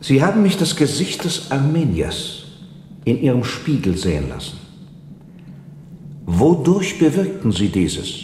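A man's voice: medium pitch, very animated, measured pace, pauses often, a little mellow. An actor playing a probing, commanding nobleman with formal, theatrical speech in a German radio drama. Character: noble gentleman, probing, commanding, intrigued by mystery. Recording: echoey hall, faint background noise.

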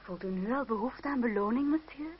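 A woman plays a playful, teasing young actress in a radio play. Her fairly high voice lilts widely with arch deliberation. The old recording is dull with faint noise. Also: animated, a little fast, lightly acted. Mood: coquettish. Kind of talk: radio drama acting. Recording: muffled, slightly noisy old radio play.

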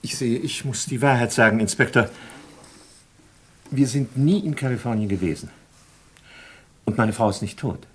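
Actor as a husky, dignified, evasive male doctor. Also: mid-range voice, close to an everyday voice. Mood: resigned, confessing. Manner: measured, formal, hesitant. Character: composed, dignified doctor under police suspicion, evasive. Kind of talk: German radio play dialogue.

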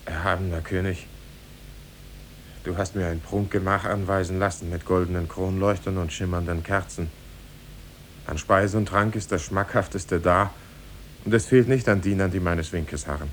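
An actor playing a humble, lovesick young merchant's son, earnest and deferential, in a 1950s German radio play. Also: male voice, fairly even intonation, normal speed, natural voice. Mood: humble, restrained. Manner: deferential, solemn, fervent. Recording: 1950s radio recording with faint rumble.

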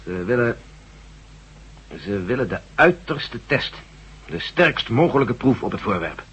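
A brisk, authoritative general in an old radio play, steady in pitch and firm in delivery. His voice is husky, heard through a dull, lo-fi recording. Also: heavily acted. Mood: reluctant. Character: General Stevens, brisk military commander. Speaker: general chairing a meeting. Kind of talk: 1970s radio drama acting.

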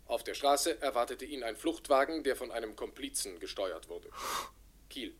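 A male radio newsreader within a crime play. His voice sits at a middle pitch. He reads formally and factually, keeping an impersonal, measured delivery. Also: lively intonation, slightly rough. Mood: matter-of-fact. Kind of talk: scripted news reading in a radio drama.

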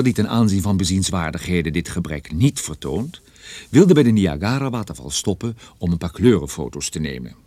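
A man's voice: low-pitched, dark tone, no room sound, exaggerated voice. An actor playing a wry, self-deprecating private detective who narrates his own story in a radio drama.

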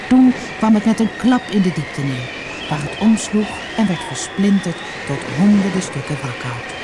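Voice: mid-range voice, noisy background. A narrator reading a storybook expressively and dramatically for an audiobook, on an old cassette recording.

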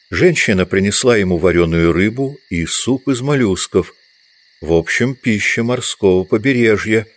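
A man reading scripted literary narration as the narrator of an audio drama. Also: sits low.

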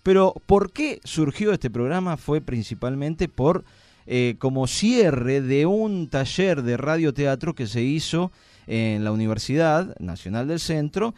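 A man's voice: fairly high pitch, lively intonation, a little slow, darkish tone, no echo. A man with an Argentine accent hosting a live radio show, with a playful, joking way of talking. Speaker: radio host.

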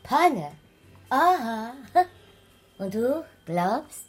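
Lively, teasing circus woman. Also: a little high, extremely slow, breathy edge, soft background music, heavily acted. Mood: insinuating. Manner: teasing, insinuating. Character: worldly, teasing circus woman, familiar with her boss. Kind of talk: 1980s German radio drama acting.

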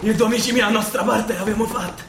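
An actor playing a wounded, fervent young volunteer soldier in a radio drama. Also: male voice, sits high, fairly even intonation, quick pace, creaky. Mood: feverish, fervent. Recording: echoey stage recording with hiss.

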